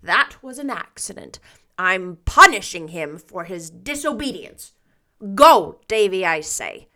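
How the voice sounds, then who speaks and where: A woman putting on a strict older guardian who scolds and commands firmly, in a bright, forceful voice raised above the story's calm telling. The lines come slowly and deliberately, with a storybook's measured care. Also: medium pitch. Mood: stern. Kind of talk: audiobook character reading.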